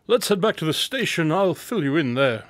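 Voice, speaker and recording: An actor playing a clever, confident detective in a radio drama, with precise, formal and superior diction. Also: male voice, on the high side, sing-song, normal speed. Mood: insistent, superior.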